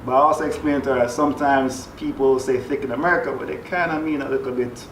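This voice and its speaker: A man from Jamaica, a guest in a group talk, tells a story in a laid-back way and laughs easily. His voice sits at a middle pitch. It sounds roomy and a bit distant, with audible background noise. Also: fairly even intonation, fast talker, fairly bright tone. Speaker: panel guest. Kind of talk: casual storytelling in a group chat.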